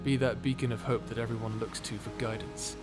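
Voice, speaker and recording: A man's voice: medium pitch, little pitch movement, brisk, lightly acted. A man playing a flirty, self-deprecating space pirate captain in an intimate audio roleplay, with a hesitant, nervously laughing manner. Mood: earnest, soft. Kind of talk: intimate ASMR roleplay acting. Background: music.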